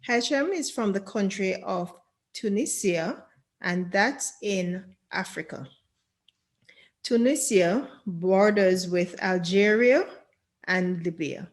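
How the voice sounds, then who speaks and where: A woman hosting a podcast, reading a scripted intro and then welcoming and questioning her guest. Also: mid-range voice. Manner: slow, deliberate reading, enthusiastic welcomes. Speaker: podcast host.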